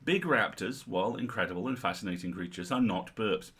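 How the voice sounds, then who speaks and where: A man's voice: on the low side, brisk, fairly bright tone, slightly rough. A middle-aged man co-hosting a livestream, reading a humorous article aloud with wry, playful and sweary asides.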